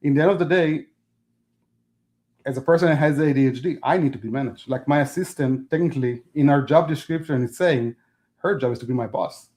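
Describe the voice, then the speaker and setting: A man whose first language is Hebrew, a guest talking casually in an interview, halting and restarting as he speaks in non-native phrasing. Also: mid-range voice, a little mellow. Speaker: podcast guest.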